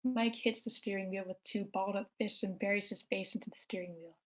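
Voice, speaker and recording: A woman narrates stage directions at a screenplay table read. Her pitch is medium and stays quite flat and even. The delivery is that of someone reading a script aloud. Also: a little fast, low-quality recording. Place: online meeting, own room.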